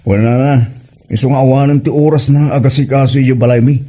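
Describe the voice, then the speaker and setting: A man's voice: mid-range voice, steady tone, brisk, mellow, natural voice. A man with an Ilocano accent acting a grumbling village farmer husband in a comedy drama. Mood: complaining. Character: grumbling, hungry village husband back from farming.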